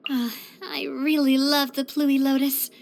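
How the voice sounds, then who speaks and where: A woman voicing a bright, earnest, sociable young female leader in a video game, chatty and expressive. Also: high-pitched, a little slow, creaky. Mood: cheerful, fond. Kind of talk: video game character voice lines.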